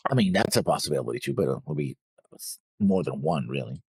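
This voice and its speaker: Very deep, raspy, gravelly man. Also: expressive, fast talker, a little mellow, no echo. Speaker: co-host of an anime reaction show. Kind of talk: casual discussion of episode notes.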